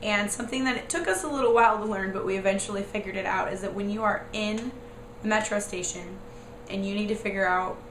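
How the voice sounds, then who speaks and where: Hoarse, croaky young woman vlogging. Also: steady tone, quick pace, fairly bright tone. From United States. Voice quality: raspy, squeaky and croaky. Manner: rambling, lots of "like" and "um". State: hoarse from a cold. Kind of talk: casual chatty explanation. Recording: echoey room with noise.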